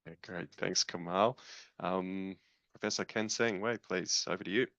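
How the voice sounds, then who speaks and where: A man hosting introductions on a video call, halting with many fillers, over dull lo-fi call audio. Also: sits low, a bit bright, dry recording. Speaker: program leader and host.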